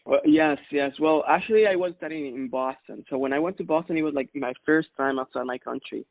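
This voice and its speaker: A man from Ecuador, in his thirties, talks through his story in a loose, easygoing way, full of fillers and quick laughs. His voice has a gravelly edge. The sound is dry and narrow, like a phone line. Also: fairly high pitch, no room sound. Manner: relaxed, chatty, laughs often, many fillers. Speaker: guest, music producer. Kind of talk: casual interview answers.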